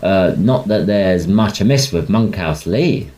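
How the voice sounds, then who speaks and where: A man's voice: deep voice, animated, a little slow, a little mellow. A man playing a hearty, gossipy young student oarsman in a Victorian audio drama, speaking emphatically in clipped British English. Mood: confiding, disdainful. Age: young adult.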